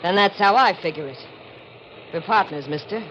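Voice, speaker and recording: A woman's voice: fairly low pitch, animated, moderate pace, natural voice. An actress playing a ruthless young beachcomber woman, curt and cool, in a 1940s radio mystery. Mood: cold, commanding. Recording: dull, faintly noisy 1940s radio recording.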